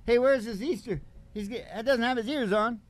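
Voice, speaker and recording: A man's voice: extremely high pitch, fairly quick, dry recording. A man hosting a country music and comedy show, with folksy, playful, rambling banter and acoustic country singing. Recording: live guitar accompaniment, loud music. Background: acoustic guitar.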